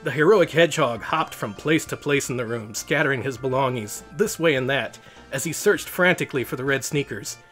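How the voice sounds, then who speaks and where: A man's voice: medium pitch, brisk, fairly bright tone, faint music behind. A man reading a children's picture book aloud as host, in storybook narration.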